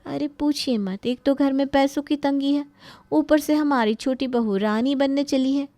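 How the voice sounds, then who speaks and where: A woman's voice: high voice, fairly even intonation, unhurried, dark tone, dry recording, pitched above the performer's own voice. A woman voicing a gossipy, scheming young daughter-in-law who feigns innocence in a moral story. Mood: gossipy, aggrieved.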